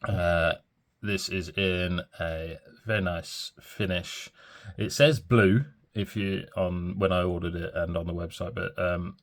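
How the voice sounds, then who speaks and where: A man's voice: sits low, steady tone, takes it slowly. A man reviewing a fountain pen in a chatty, rambling way, full of ums and small corrections, a little throaty and bunged up from a cold. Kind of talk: casual product review.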